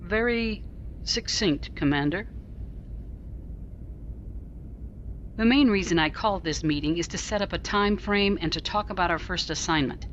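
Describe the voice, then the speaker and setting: A woman playing a composed, principled starship captain in a science-fiction audio drama, speaking in a measured, articulate and authoritative way. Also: fairly low pitch, dull audio, a little hiss or hum, natural voice. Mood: businesslike.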